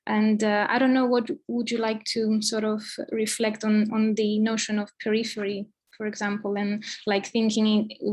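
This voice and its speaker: Woman, monotone, hesitant panel talk. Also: mid-range voice. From Cyprus. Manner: hesitant, many fillers. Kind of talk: panel discussion.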